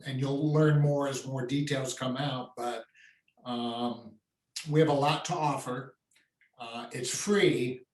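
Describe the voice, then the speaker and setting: An older man, head of a trade association, talking plainly to members on a conference call, with many restarts. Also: fairly high pitch. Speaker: association CEO. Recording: echoey and distant from the mic.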